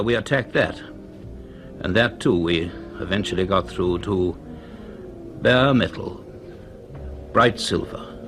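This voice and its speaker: An actor playing an earnest, commanding British university professor in a radio drama, speaking in a measured, deliberate, authoritative way. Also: male voice, lowish voice, a bit bright. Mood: grave, intrigued. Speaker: actor playing the lead and narrator. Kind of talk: radio drama dialogue and first-person narration.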